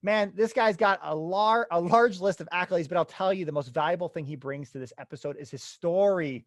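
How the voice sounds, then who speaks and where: A young man hosts an investing podcast with eager, casual enthusiasm. His voice is pitched quite high for a man. The recording is dry, with no room sound. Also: fairly quick, no echo. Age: young adult. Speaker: podcast host. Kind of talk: upbeat podcast intro and interview.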